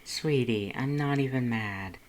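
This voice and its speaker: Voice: medium pitch, a little slow, lightly acted. A performer voicing an affectionate, flirty male skeleton monster who soothes and coaxes with pet names in a fanfiction audiobook. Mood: playful, gentle. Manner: purring, murmuring, coaxing with endearments.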